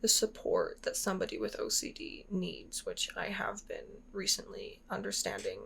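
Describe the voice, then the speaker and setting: A woman's voice: mid-range voice, flat delivery, moderate pace, vocal fry, sharp tone, slightly rough. A woman in her twenties talking casually and openly to her channel, rambling with lots of fillers. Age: 20s. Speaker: YouTuber. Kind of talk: casual personal vlog.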